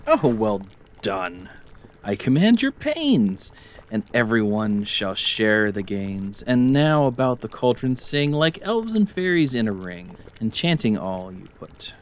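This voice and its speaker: Chief witch male actor: authoritative, ritual delivery, unhurried verse, solemn Shakespearean tone, faint background noise. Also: on the low side, big pitch swings. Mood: commanding. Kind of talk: dramatic reading of Shakespeare.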